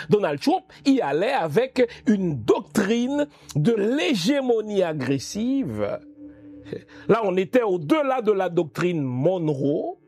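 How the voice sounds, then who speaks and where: A man's voice: sits high, darkish tone. A man from Haiti giving geopolitical commentary in a didactic, emphatic, rhetorical manner. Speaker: political commentator.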